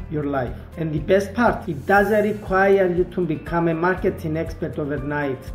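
A middle-aged man with a Cypriot Greek accent, Greek being his first language, delivers a measured, persuasive scripted pitch straight into a close camera. The small office rings back with noticeable room echo. A faint music bed runs quietly underneath. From Cyprus. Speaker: wellness consultant pitching an online course. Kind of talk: scripted promotional monologue to camera. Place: small indoor office, seated at a desk.

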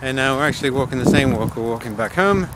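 A man's voice: mid-range voice, expressive, quick pace. A man vlogging his own wedding day with a phone at arm's length, chatty and rambling, his voice a bit worn. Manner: rambling and chatty, many fillers. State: losing his voice. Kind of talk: casual handheld vlog. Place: park outdoors, later busy restaurant. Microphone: phone camera at arm's length. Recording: loud background noise and crowd.

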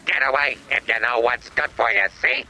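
An actor voicing a skittish little game robot with old-time gangster bluster, speaking in halting, dramatic third person. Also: male voice, mid-range voice, animated, vocal fry, pushed and bright, exaggerated voice. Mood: defensive bluster.

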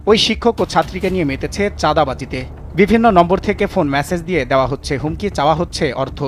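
A man's voice: a little high, expressive, quick pace, slight background noise. A man reading a scripted news report as a reporter.

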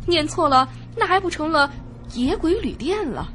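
A woman's voice: high voice, expressive, moderate pace, a bit bright, faint music behind. A woman playing a frightened, complaining young traveller in a radio drama. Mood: anxious, complaining.

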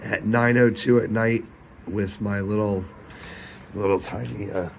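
A man with a hoarse, sick voice, casually chatting about his ham radio setup at night. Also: on the low side, fairly even intonation, a little slow, slight background noise. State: hoarse, losing his voice from illness. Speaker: ham radio video host. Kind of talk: casual vlog narration with radio contacts. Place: home radio shack at night.

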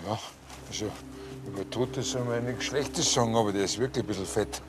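A man playing a naive, excitable small-town policeman with a Bavarian accent in a TV crime comedy. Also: on the low side, fairly quick, noisy background, close to an everyday voice. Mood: blunt, matter-of-fact.

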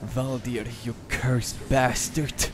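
A man performs a starship commander on trial in a science fiction audio drama. He is indignant and insistent, with a bitter edge, his medium-pitched voice echoing around a large hall. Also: little pitch movement, takes it slowly, lightly acted. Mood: bitter, accusing. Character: defiant, embittered male starship commander on trial. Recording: echoey hall reverb.

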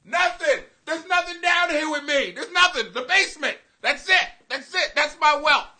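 Bright-toned swearing man on a webcam. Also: very high-pitched, moderate pace. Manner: loose, swearing, loud bursts and laughing asides. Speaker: host of a live webcam show. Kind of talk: casual profane live commentary. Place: home basement room. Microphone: webcam at desk.

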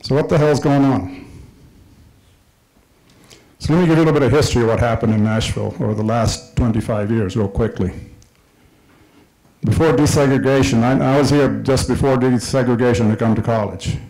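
Middle-aged man from Kenya, handheld mic, large indoor hall, emphatic public comment, mellow low-key tone. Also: fairly quick, long pauses. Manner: impassioned, emphatic, repeats key points. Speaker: developer speaking at a public listening session. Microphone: handheld microphone.

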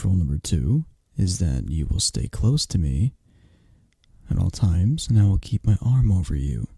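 Man acting a dark, gravelly, possessive mafia boss boyfriend. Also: low voice, sing-song, no room sound, heavily acted. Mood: possessive, firm. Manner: unhurried, commanding, coaxing, close and soft. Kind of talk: intimate ASMR romance roleplay.

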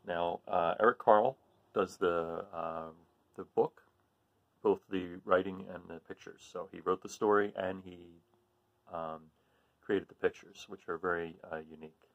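A middle-aged man reading a children's storybook aloud as host, in short deliberate phrases with expressive emphasis on key words. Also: deep voice, creaky, muffled recording. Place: small room at home, indoors.

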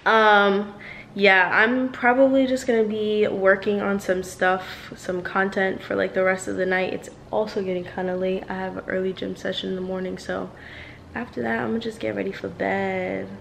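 A woman's voice: mid-range voice, level tone, moderate pace, gravelly, a bit bright, a little hiss or hum. A woman in her twenties chatting casually to a handheld camera in her apartment, upbeat and slangy, laughing often. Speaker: vlogger. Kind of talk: casual vlog chat to camera. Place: apartment at home, indoors. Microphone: handheld camera at arm's length.

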